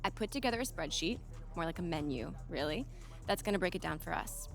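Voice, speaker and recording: A woman's voice: on the low side, animated, a little fast, gravelly, soft background music. A woman playing a confident, upbeat young business manager in a video game cutscene, assured and explanatory. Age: young adult.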